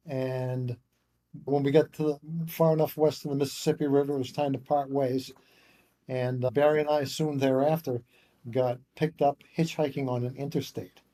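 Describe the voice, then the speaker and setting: A man's voice: medium pitch, dark tone. A man in his seventies, a guest author, telling a long anecdote in a rambling way with frequent restarts. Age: 70s. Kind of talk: casual interview storytelling.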